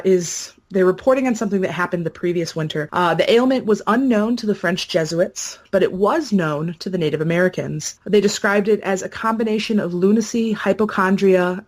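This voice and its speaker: A woman giving an informal, conversational explanation, telling historical accounts as a podcast guest. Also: medium pitch.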